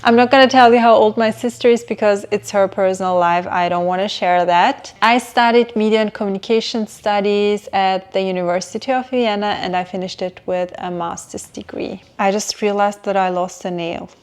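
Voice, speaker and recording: A woman in her thirties, a native Turkish speaker, answering viewer questions in a soft, unhurried, reflective vlog voice-over. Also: medium pitch, steady tone, clear, resonant tone. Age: 30s. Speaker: vlogger.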